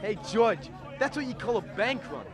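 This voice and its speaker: A teenage student actor playing a chummy, colloquial small-town cab driver in a radio drama. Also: male voice, sits high, sing-song, normal speed, music in the background. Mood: concerned. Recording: noisy street ambience with crowd, traffic.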